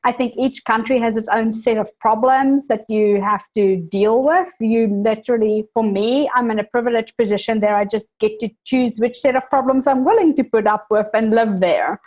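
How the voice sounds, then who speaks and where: A woman with a South African accent softened by New Zealand, a native Afrikaans speaker, chatting candidly as a podcast guest and laughing at her own jokes. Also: a little high, normal speed, hardly any pauses. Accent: South African with New Zealand influence. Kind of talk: casual conversational storytelling.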